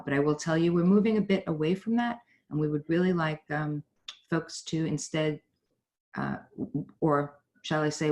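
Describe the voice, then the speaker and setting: A middle-aged woman with a low-pitched voice hosts an informal livestream talk. She speaks in a rambling way, often breaking off and circling back to another point. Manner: rambling, digressing, frequently jumping between points. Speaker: founder and host of a business network talk.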